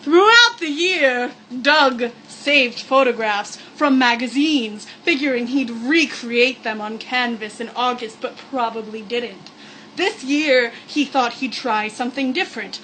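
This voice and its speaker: High, bright woman's voice, slow sarcastic storytelling. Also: a little hiss or hum, rough recording. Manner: sarcastic, mock-dramatic. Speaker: storyteller reading a ghost story aloud with joking asides. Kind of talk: comedic read-aloud with mock-spooky asides.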